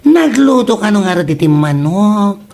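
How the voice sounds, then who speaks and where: A woman's voice: mid-range voice, big pitch swings, a little slow, vocal fry, a little mellow. A woman playing a gleeful, mocking village gossip in a comedy radio drama, theatrical, with an Ilocano accent.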